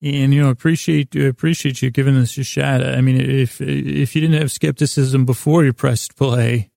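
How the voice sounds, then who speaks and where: A man hosting a sleep podcast in a rambling bedtime monologue, meandering and halting with stammers, repeats and silly digressions, in a gravelly voice. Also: medium pitch, steady tone, dark tone, dry recording, clean studio sound.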